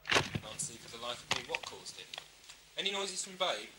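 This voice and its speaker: Brisk male officer in charge, played by an actor: dry, exasperated, middling pitch, audio drama. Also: big pitch swings, heavy background noise, natural voice. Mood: exasperated, commanding. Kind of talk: amateur audio drama acting. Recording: loud music over dialogue, home-made cassette drama.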